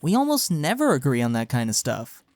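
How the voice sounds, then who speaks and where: A man's voice: mid-range voice, wildly swooping pitch, brisk, dry recording. A young man's voice in an intimate audio roleplay, recorded very dry. He is acting as a caring college best friend speaks casually and earnestly, with a playful, teasing streak, as if to one listener. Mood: playful, chatty. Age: young adult.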